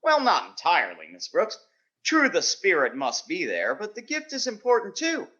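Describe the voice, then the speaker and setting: Man playing an excitable, swooping, naive bashful teacher. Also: high voice, moderate pace, dull audio, heavily acted. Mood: flustered, earnest. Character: naive, earnest, bashful male biology teacher. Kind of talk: 1940s radio sitcom acting.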